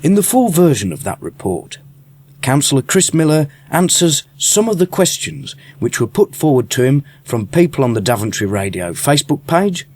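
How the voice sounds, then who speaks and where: A man's voice: a little high, sing-song, moderate pace, a little mellow, a little hiss or hum. A man presenting scripted radio links as a reporter.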